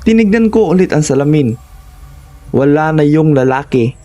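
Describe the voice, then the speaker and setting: A man's voice: fairly high pitch, lively intonation, normal speed, warm tone, dull audio, soft background music. A man with a Filipino accent giving a scripted first-person narration of horror stories.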